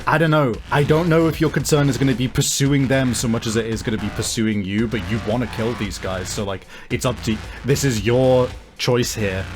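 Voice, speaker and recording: A man running a tabletop game as game master, improvising narration and explaining deliberately with casual swearing. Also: medium pitch, steady tone. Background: faint music.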